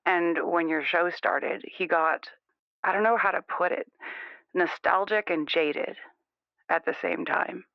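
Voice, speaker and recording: A man's voice: high voice, fairly even intonation, unhurried, creaky, smooth, no echo. A man playing a defensive, conformist young caller, insistent and rambling, in an improvised radio call-in drama. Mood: uneasy, defensive. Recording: band-limited, muffled phone-in radio sound.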